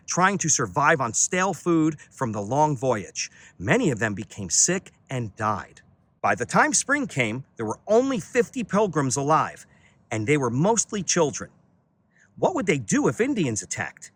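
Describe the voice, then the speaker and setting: A man reading a children's story aloud outdoors in an expressive, dramatic way, with deliberate phrasing and emphatic pauses. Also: a little high, slightly rough. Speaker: children's read-aloud reader, teacher and entertainer. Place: outdoors on a beach.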